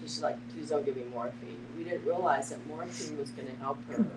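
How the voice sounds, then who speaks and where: A middle-aged woman giving an earnest personal account in a group discussion, speaking in an emphatic, deliberate way. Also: low-pitched. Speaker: panel participant, family caregiver. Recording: echoey, distant mic, noisy hum.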